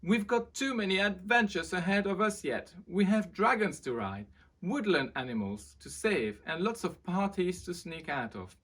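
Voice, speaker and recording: A woman voicing a young servant man in a storybook, playful, adventurous and teasing in a measured way. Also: on the low side, lively intonation, breathy edge, voice pushed up. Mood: playful, assured. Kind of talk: storybook character reading.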